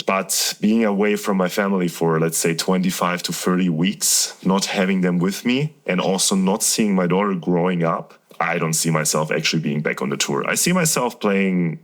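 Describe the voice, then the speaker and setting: A man from Germany in his thirties, a professional tennis player talking candidly and at length about his career as a guest in a casual interview. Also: low-pitched. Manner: earnest, candid, rambling storytelling.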